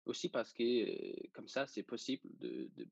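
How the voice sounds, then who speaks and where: A young man with a Danish accent, speaking casually and haltingly as he searches for words. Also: mid-range voice, huge pitch swings, gravelly, a little mellow, breathy edge, no room sound, muffled recording. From Denmark. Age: young adult. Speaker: interview guest, journalism student. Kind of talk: casual interview.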